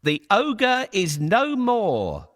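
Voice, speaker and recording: A man voicing a clever, flattering cat in a children's story, with a coaxing, theatrical manner. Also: high voice, very slow, pitched above the performer's own voice, heavily acted. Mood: proud announcing. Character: clever, flattering cat, male, sly and eager.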